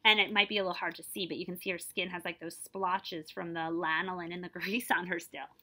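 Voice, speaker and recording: A woman's voice: on the low side, steady tone, a little fast, bright, forceful tone. A woman in her forties reading a picture book aloud expressively, breaking off to explain and check in with listeners. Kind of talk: animated storybook read-aloud with explanatory asides.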